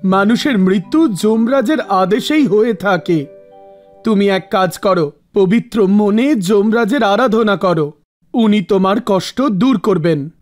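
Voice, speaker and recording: A man voicing a calm holy man who gives measured, instructive counsel in a cartoon. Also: sits high. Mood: calm, advising. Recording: music under the voice.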